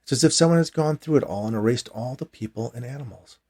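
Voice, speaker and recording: A man's voice: mid-range voice, fast, darkish tone. A man reading his own short story aloud as a scripted first-person narration.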